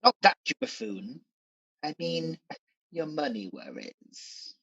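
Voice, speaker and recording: A scheming Demon King in a radio pantomime, mid-pitched and theatrical. The delivery is sly and coaxing, and the sound is close and dry but slightly dull. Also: wildly swooping pitch, takes it slowly, gravelly, fairly bright tone, slightly rough, no room sound, full character voice. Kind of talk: pantomime radio play acting.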